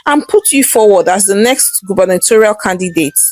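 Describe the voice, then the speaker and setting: A woman's voice: medium pitch, lively intonation, a little fast, a little mellow, natural voice. A woman storyteller voicing an eager, ambitious young wife in a folktale. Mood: eager, anxious. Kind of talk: storybook character dialogue.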